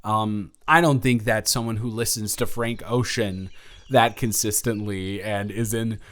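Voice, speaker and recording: A man's voice: lowish voice, fairly even intonation, a little slow, a bit bright. A young man playing an awkward, smitten, introverted college dormmate in a romantic audio roleplay, rambling and stammering in a flustered way. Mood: flustered, affectionate. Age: young adult. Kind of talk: intimate romantic audio roleplay, conversational acting.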